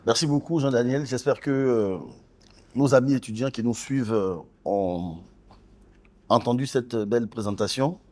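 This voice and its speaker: A male host conducting a gallery interview in front of students. He speaks formally and deliberately, often halting as he builds a phrase, at a middling pitch. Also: darkish tone.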